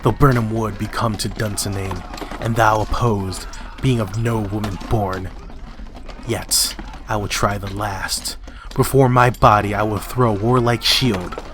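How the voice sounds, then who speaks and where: A man's voice: on the low side, steady tone, a little slow, a bit bright. A man playing a defiant, doomed warrior king in an amateur Shakespearean dramatic reading. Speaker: amateur reader in library staff dramatic reading. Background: music and battle sound effects.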